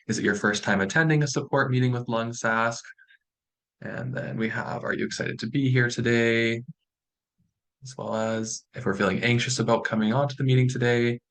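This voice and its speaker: A man's voice: mid-range voice, a bit bright, rough recording. A young man with a Canadian accent giving an informal online presentation as a student presenter, in a friendly, reassuring and encouraging way. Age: young adult.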